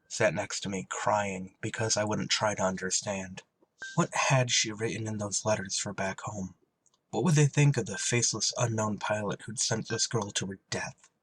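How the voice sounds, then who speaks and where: Low voiced somber space pilot guy acting, stoic and regretful, radio drama style, noisy recording. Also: natural voice. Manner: grave, restrained, reflective. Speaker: lead actor and narrator. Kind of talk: dramatic audio drama narration and dialogue.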